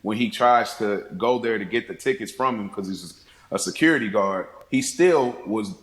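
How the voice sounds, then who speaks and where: Low-voiced man in an echoey room. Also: normal speed, fairly bright tone. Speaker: podcast guest. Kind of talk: casual film discussion. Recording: roomy, echoing sound.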